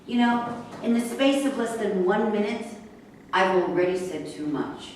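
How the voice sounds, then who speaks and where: A woman in a staged play reading, acting a bitter, sharp-tongued racehorse trainer who is hurt, sarcastic and confrontational. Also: medium pitch, animated, measured pace, close to an everyday voice. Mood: guarded, sarcastic. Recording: very echoey room, distant mic, faint noise.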